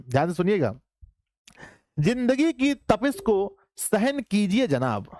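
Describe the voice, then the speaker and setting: A man teaching an online class in a casual, joking way, slightly hoarse, often calling his students children. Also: on the high side, a little mellow, dry recording, studio quality. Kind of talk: casual live class lesson.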